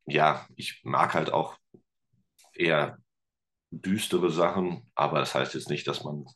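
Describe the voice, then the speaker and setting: A man with a very low, deep voice talks casually as a podcast guest over a video call. He hesitates a lot, restarts his sentences, and drops in dry jokes. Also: slow, a bit bright. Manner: hesitant, many fillers and restarts, joking. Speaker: podcast guest, teacher and musician. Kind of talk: casual conversation. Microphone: remote video call.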